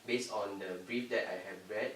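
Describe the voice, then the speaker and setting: Low-voiced young man leading a discussion. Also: moderate pace. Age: young adult. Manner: steady, explaining, invites others to speak. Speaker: group discussion leader. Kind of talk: casual student discussion. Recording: roomy, distant, faint hiss.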